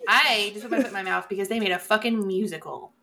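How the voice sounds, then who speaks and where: A woman's voice: mid-range voice, steady tone, fast, sharp tone. A woman co-hosting a casual film review chat, answering in dry, teasing retorts. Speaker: podcast co-host.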